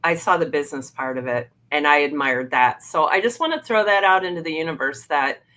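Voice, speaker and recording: A middle-aged woman with a low-pitched voice hosts a relaxed interview. She talks in a loose, chatty way, often stopping to restart a thought or fill a pause with "um.". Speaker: podcast host. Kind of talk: casual interview chat.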